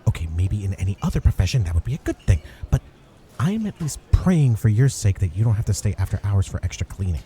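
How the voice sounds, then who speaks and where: A man's voice: lowish voice, big pitch swings, quick pace, dark tone. A man playing an earnest, sarcastic superhero in a comedic ASMR roleplay, talking straight to the listener with grudging, wry concern. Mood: earnest warning. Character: earnest, wry male superhero griping at a cafe.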